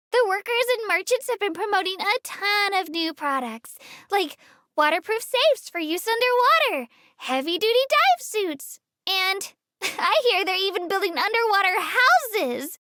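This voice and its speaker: A woman's voice: very high voice, pushed and bright, no echo, clean studio sound, exaggerated voice. A woman voicing a small, girlish creature tour guide in a game, earnest, polite and a little hesitant as she gives her commentary. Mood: candid, informative. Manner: polite, earnest, slightly hesitant, self-effacing.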